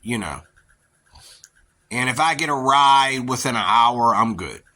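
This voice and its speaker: Bright-voiced rambling man with Pittsburgh accent. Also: medium pitch, expressive, measured pace. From near Pittsburgh, USA. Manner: rambling, repetitive, emphatic. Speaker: vlogger. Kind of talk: casual monologue rant.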